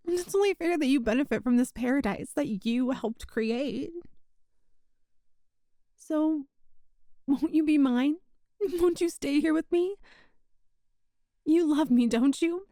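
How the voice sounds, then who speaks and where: Woman acting a high-pitched, lovestruck yandere witch. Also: warm tone, dry recording, studio quality. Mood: adoring, then pleading, possessive. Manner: cutesy, coy, breezily matter-of-fact about sinister things. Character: lovestruck possessive yandere witch, sweetly menacing and clingy. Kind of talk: ASMR roleplay acting addressed to the listener.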